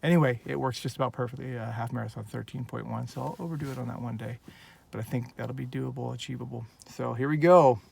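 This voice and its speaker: A man's voice: medium pitch, steady tone, fast, gravelly, few pauses, a little mellow, slight background noise. A man in his forties from Northern California, talking in an earnest, rambling, heartfelt way in a casual personal vlog, recorded on a handheld phone. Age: 40s. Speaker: vlogger.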